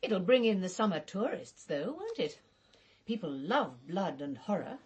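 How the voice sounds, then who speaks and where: An actress playing a caustic, resentful English woman in a radio drama, with a husky voice and a blunt, sardonic delivery. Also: on the low side, sing-song, measured pace, full character voice. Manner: sardonic, blunt, sharp-tongued.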